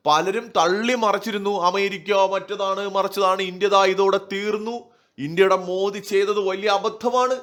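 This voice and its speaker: A man's voice: high voice, a bit bright. A man giving opinionated political commentary in an emphatic, rhetorical manner. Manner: emphatic, rhetorical, asking and answering own questions. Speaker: online news commentator.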